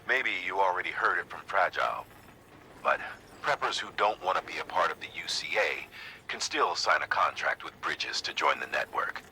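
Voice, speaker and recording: An actor playing an authoritative official who gives a formal, measured, explanatory briefing in a video game. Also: male voice, low-pitched, lively intonation, bright, forceful tone, slight background noise, full character voice, low-quality recording. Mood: matter-of-fact. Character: authoritative male organization official briefing a courier by radio.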